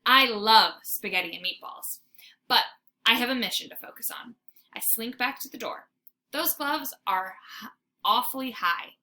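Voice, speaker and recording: Bright-voiced woman reading a children's story. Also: a little high, moderate pace. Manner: dramatic, animated storytelling. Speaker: teacher reading aloud to students. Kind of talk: expressive children's book read-aloud. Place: informal homemade recording. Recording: faint background noise, homemade recording.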